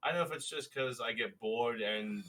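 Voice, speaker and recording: An Australian man talking casually in a relaxed group conversation. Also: mid-range voice, normal speed, low-quality recording. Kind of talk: casual chat.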